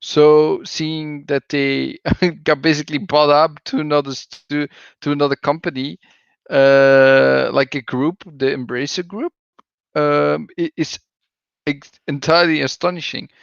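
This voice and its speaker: A man's voice: on the high side, level tone, measured pace, vocal fry, clear, resonant tone, no echo, highs cut off. A man from Belgium with a Belgian accent, a laid-back panelist on a gaming podcast who laughs often. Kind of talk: casual gaming podcast chat.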